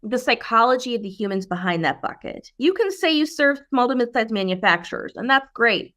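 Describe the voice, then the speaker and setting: A woman's voice: on the high side, bright, forceful tone. A woman speaking as an interview guest and brand strategist, explaining in an emphatic, coaching way with rhetorical questions. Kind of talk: explanatory business interview talk.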